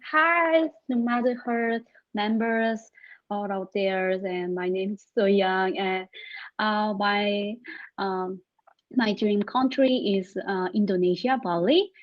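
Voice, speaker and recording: A woman's voice: medium pitch, muffled recording. A woman introducing herself in an online chat, eager and searching for words. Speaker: participant. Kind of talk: casual online group chat.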